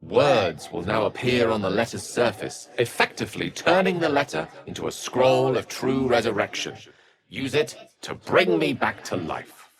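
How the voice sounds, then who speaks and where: A man's voice: mid-range voice, big pitch swings, normal speed. A man playing an erudite, witty wizard in a fantasy video game, speaking with eloquent, wry and courteous theatricality. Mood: thoughtful, explanatory.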